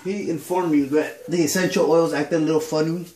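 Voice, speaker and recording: A man's voice: on the high side, fairly quick, reverberant, noisy background. A man in his thirties with a stuffy nose, chatting casually and rambling with lots of ums while talking to the camera in a small home bathroom. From Southern California. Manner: relaxed, rambling with many ums. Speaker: shaving vlogger. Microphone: camera on a counter facing a mirror.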